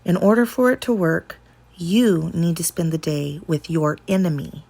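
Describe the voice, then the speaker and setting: A woman voicing a calm, sly dad in a children's storybook, speaking deliberately and confidingly. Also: on the low side, gravelly. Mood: quiet, serious. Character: sly, calm dad sharing a secret recipe.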